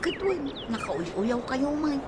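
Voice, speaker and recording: A woman acting a sharp-tongued, bickering character in a comic radio drama, with an Ilocano accent and a sassy, exclamatory manner. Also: high voice, sing-song, takes it slowly, exaggerated voice. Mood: sassy, scolding. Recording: noisy radio drama recording.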